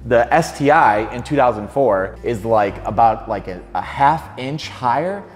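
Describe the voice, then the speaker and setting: A man's voice: mid-range voice, lively intonation, measured pace. A young man talking casually about cars as a video host. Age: young adult. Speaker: car detailer and video host. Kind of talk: casual car talk on camera. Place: large indoor detailing garage. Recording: echoey garage, faint music behind.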